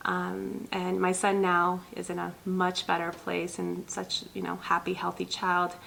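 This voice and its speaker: A woman's voice: fairly low pitch, completely flat delivery, unhurried, creaky, fairly bright tone, slight background noise, rough recording. A woman in her thirties from Ethiopia, a pediatric nurse practitioner hosting her own channel, talking earnestly to viewers with lots of ums. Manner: earnest, many ums, speaks directly to viewers. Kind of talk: personal talk to camera.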